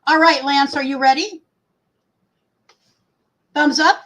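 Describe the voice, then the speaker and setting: A woman's voice: high voice, fairly bright tone, poor audio. A woman with a big voice hosting a casual livestream, rambling and correcting herself as she talks. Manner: rambling, self-correcting, many pauses. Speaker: host of a livestream.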